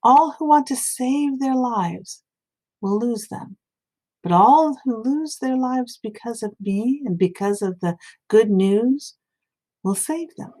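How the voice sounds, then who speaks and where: Expressive woman reading scripture aloud. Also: on the high side, takes it slowly. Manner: dramatic, emphatic delivery of quoted dialogue. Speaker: audio Bible reader.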